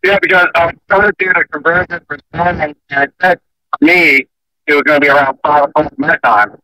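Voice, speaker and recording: A man chatting casually over an online call, speaking in a loose, slightly halting way. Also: fairly high pitch, sharp tone, low-quality recording. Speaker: guest calling in.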